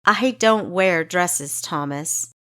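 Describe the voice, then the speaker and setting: A woman's voice: lowish voice, slow, pushed and bright, dry recording, studio quality. A woman voicing a defiant fourteen-year-old girl spy in an audiobook, sarcastic and indignant. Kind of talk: audiobook character dialogue.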